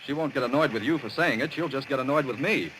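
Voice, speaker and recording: An actor playing a courteous, easygoing consulate man in a 1940s radio drama, polite and smooth in manner. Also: medium pitch, quick pace, a bit bright, close to an everyday voice, low-quality recording. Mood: tactful. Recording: old radio recording, faint noise.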